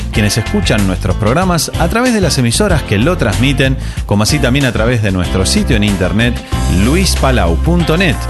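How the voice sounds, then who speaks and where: Guy doing a radio show intro, welcoming and formal, lively pitch, music underneath. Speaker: radio programme host. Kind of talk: scripted radio programme introduction.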